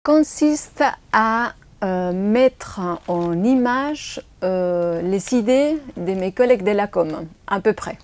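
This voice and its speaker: A graphic designer and photographer from Spain, a native Spanish speaker with a Spanish accent, answering interview questions in plain, slightly non-native phrasing. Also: female voice, mid-range voice, lively intonation, slow pace, darkish tone.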